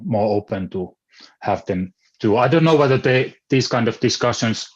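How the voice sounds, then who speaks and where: A man with a Finnish accent, a native Finnish speaker, talking on an online panel in a hesitant way, restarting often as he searches for words. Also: on the low side. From Finland. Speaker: philosopher on a panel. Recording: dull lo-fi call audio.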